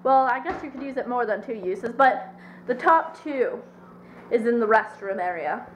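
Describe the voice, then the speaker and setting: A young woman with a fairly high voice jokes and teases her way through a casual home video. She sounds hyper and playful, recorded in a living room by a camera propped on a counter. Clicks and handling noise come through clearly. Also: animated, moderate pace, poor audio. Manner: playful, goofy and hyper, teasing. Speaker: vlogger filming family. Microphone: camera propped on a counter nearby.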